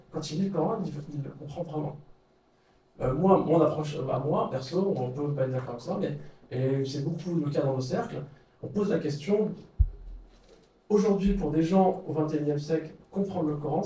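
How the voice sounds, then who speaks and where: A man's voice: a little high, moderate pace, dark tone. A man giving an informal talk, hesitant, with many fillers and restarts. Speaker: speaker leading a study circle. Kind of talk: informal lecture with questions. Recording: echoey room, far from the mic.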